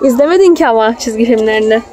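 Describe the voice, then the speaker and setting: A woman's voice: on the high side, expressive, racing, a crowd in the background. A woman, a mother chatting casually with a child and pointing things out on an outdoor family vlog. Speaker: mother filming a family outing. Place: outdoors in a theme park. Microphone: handheld phone. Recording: outdoor ambient noise on phone.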